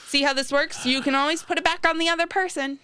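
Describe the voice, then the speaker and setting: A woman's voice: sits high, fairly even intonation, quick pace, bright, forceful tone, dry recording, clean studio sound. An American woman co-hosting a podcast, in casual chat about politics. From United States. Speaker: podcast co-host.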